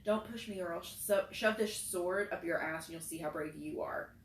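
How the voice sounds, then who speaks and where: A woman's voice: on the low side, normal speed, distant mic, natural voice. A woman voicing a hot-tempered teenage boy who snaps and threatens. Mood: furious. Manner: shouting angrily. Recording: roomy echo, faint noise.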